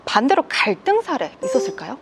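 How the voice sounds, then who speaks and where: A woman moderating a panel, prompting the speakers with slow, brief questions. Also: sits high, wildly swooping pitch, creaky, noisy background. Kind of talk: panel discussion.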